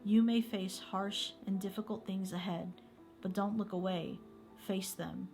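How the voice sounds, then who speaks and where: A woman's voice: medium pitch, steady tone, a little slow, vocal fry, a little mellow, soft background music. A woman narrating a scripted true-crime video essay.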